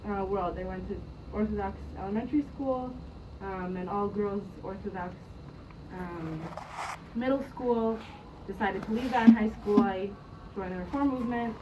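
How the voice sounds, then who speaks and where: A woman's voice: mid-range voice, normal speed. A young woman who grew up in Jerusalem, telling her story in a hesitant, casual way with many ums and restarts. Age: young adult. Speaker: interviewee, youth program staff member. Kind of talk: casual spoken recollection. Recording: distant mic, muffled, noisy.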